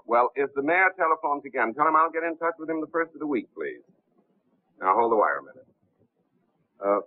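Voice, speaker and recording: An actor playing a suave, unflappable gentleman impostor in a 1930s film, speaking politely and smoothly. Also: medium pitch, no room sound, close to an everyday voice. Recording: muffled, tinny 1930s film soundtrack.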